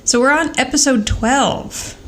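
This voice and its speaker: A woman's voice: high-pitched, a little slow, a bit bright. An American woman co-hosting a podcast in a casual, wry, chatty way as she recaps. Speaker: podcast co-host. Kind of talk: casual chat, episode recap. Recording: faint background noise.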